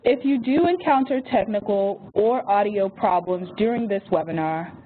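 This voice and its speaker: A woman moderating a webinar, reading housekeeping notes and an introduction from a script. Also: medium pitch. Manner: reads phone number slowly and carefully. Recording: tinny phone-line audio with faint hiss.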